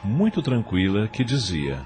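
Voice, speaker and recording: A man's voice: fairly low pitch, wildly swooping pitch, a little slow, darkish tone, faint music behind. A man with a Brazilian accent giving scripted third-person narration for an audio drama.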